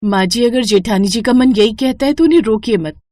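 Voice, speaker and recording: A woman's voice: fairly high pitch, fairly quick, warm tone, no echo, studio quality, natural voice. A woman voicing a polite, earnest young food-seller in a cartoon moral story.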